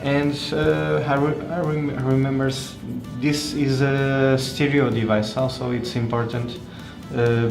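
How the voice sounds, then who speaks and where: A man presents a product hands-on with a flat, unhurried delivery. He speaks haltingly, searching for words and repeating them as he goes. The sound is noticeably roomy. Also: medium pitch. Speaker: tech reviewer presenting a phone. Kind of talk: unscripted hands-on product review.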